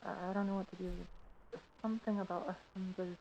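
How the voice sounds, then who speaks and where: A woman's voice: mid-range voice, fairly even intonation, lightly acted. A woman playing a troubled, frightened woman in a horror game recording, speaking hesitantly. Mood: uneasy, troubled. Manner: hesitant, halting. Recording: muffled recording, very loud noise.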